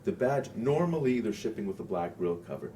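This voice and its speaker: Male trade show distributor, very low pitch, explanatory and halting, clearly noisy room. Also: very animated, mellow. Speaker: audio distributor presenting products. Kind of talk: informal trade show product presentation.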